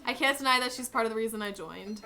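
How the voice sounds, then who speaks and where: A woman's voice: on the high side, quick pace, crowd noise, lightly acted. A woman voicing an easygoing teenage boy in a visual novel game, reading in her normal voice.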